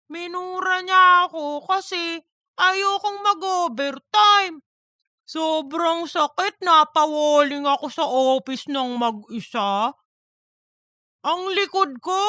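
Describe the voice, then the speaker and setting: A woman voicing a teasing male office buddy with drawn-out, slangy talk in a comic audiobook. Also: very high voice, pushed and bright, no room sound, clean studio sound, exaggerated voice. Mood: mock dramatic. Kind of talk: scripted comic audiobook dialogue.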